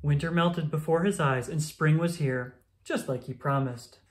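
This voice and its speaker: An expressive storyteller reading a children's picture book aloud. The voice sits at a middle pitch and carries the narration in a storytelling style. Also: moderate pace.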